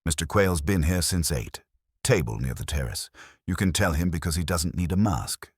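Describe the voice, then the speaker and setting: A man performs a dry, resigned male bartender in a mystery audiobook. He speaks very low in clipped, deadpan sentences, discreetly gossipy, with a dry close sound. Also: normal speed, husky, no room sound. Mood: dry, knowing.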